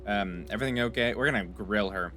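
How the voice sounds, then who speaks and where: A young man casually commenting on a game as he plays, reading its lines aloud and thinking out loud with fillers. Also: lowish voice, quick pace, faint music behind. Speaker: gaming YouTuber.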